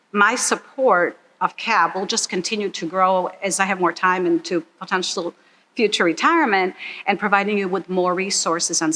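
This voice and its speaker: A middle-aged woman gives an earnest, heartfelt testimonial in an interview. Her voice is bright and crisp, and her pitch sits in an ordinary middle range for a woman. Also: rough recording. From Croatia. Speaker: donor and supporter of a charity.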